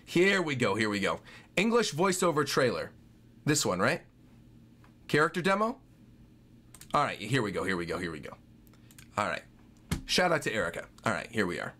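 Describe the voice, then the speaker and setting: A man in his twenties giving casual, excitable live commentary as a streamer reacting to game trailers. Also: medium pitch, moderate pace, long pauses. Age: 20s. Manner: excitable, exclaiming. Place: small furnished room indoors. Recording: game trailer music playing behind.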